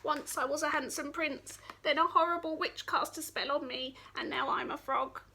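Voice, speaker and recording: A woman voicing a small, sad enchanted frog prince with a croaky, plaintive voice. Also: high-pitched, steady tone, normal speed, sharp tone, a little hiss or hum, voice pushed up, exaggerated voice. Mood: pleading. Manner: plaintive, pleading.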